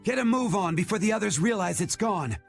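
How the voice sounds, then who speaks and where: An evasive, grudgingly kind young game character. His fairly high voice is gravelly and expressive, over faint music. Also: a little fast. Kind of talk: game voice acting.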